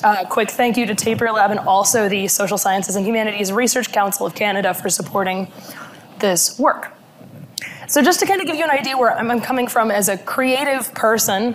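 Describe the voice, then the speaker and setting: A woman in her thirties, hoarse from laryngitis, giving a conference talk in a halting way with many fillers, speaking at a podium mic. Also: on the high side, slight background noise. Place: conference room.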